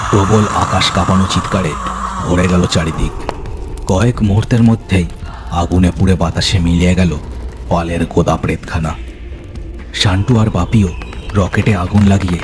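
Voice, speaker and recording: A man giving a dramatic, scripted narration of a horror story. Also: fairly low pitch. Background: music.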